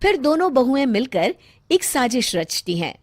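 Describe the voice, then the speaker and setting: High-voiced woman narrating a cartoon story. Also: big pitch swings, normal speed.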